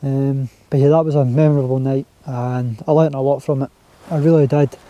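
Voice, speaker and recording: A Scottish man talking casually to his camera while camping on a hilltop, relaxed and chatty. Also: mid-range voice, normal speed, no room sound, lo-fi sound. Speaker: outdoor vlogger. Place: outdoors on a windy hilltop campsite. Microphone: handheld camera or mini tripod.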